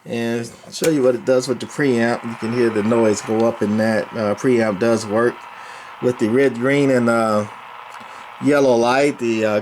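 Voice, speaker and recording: A man giving a casual, plain-spoken hands-on equipment demonstration, narrating as he works. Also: medium pitch. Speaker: radio hobbyist presenter. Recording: noisy room with steady equipment hum.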